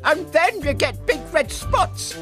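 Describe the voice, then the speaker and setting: A woman voicing a fussy female chicken nurse in a children's story, clucking and squeaky. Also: big pitch swings, unhurried, music in the background. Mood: bossy.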